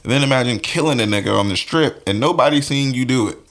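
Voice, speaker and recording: A man co-hosting a casual podcast, blunt and profane, telling stories in an animated way. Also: medium pitch, fast talker. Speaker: podcast co-host. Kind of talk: casual chat.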